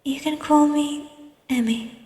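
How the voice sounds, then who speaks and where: A friendly young lady acted by a woman in a detective game, high-voiced and soft in manner. She sounds away from the mic, with long reverb. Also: steady tone, takes it slowly. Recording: echoey, reverberant room, distant mic.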